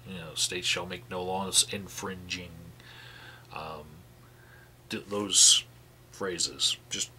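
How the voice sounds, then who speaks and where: A man's voice: low-pitched, pushed and bright, a little hiss or hum. A man reading call records aloud and adding his own commentary, sighing often and restarting his sentences. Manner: sighing, halting restarts between read passages. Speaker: activist vlogger reading out call logs.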